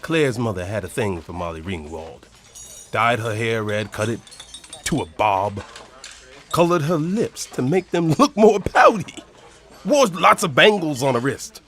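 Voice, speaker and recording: An actor playing an older retired professor, a preachy zealot who rambles earnestly and emphatically in an audio drama. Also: male voice, mid-range voice, very animated, unhurried, fairly bright tone, slight background noise. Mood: wry.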